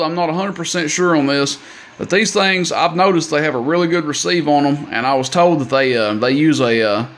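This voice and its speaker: A man's voice: medium pitch, fairly bright tone. A man giving a casual, plain-spoken product demonstration of equipment, with folksy, hesitant wording. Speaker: radio seller demonstrating equipment. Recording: amateur video with clear background noise.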